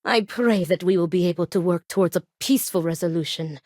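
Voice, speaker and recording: An actress voicing a grave, principled and devout woman in a video game, speaking in formal, measured, stern phrases. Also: fairly low pitch, darkish tone, dry recording, clean studio sound, natural voice. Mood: grave, earnest. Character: grave, principled woman acting as duel second, devout, weary. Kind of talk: video game character dialogue.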